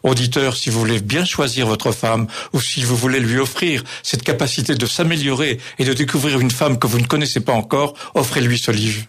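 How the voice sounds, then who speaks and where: A man's voice: medium pitch, fairly quick, few pauses, fairly bright tone, breathy edge. A male radio host interviewing guests, speaking in an effusive, flowery way.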